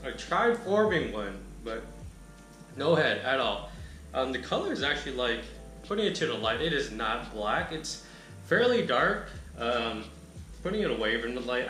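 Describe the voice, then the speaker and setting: A man with a raspy, bright-toned voice talks through a beer review in a loose, rambling way. He speaks in an echoey room with faint music playing in the background. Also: mid-range voice. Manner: laid-back, rambling, lots of filler words. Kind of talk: casual chat.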